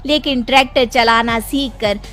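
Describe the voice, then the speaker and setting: A woman's voice: on the high side, fairly even intonation, normal speed, fairly bright tone, faint music behind, close to an everyday voice. A woman voicing a determined, respectful young village daughter-in-law in a cartoon moral story, speaking earnestly. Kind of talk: animated moral story dialogue.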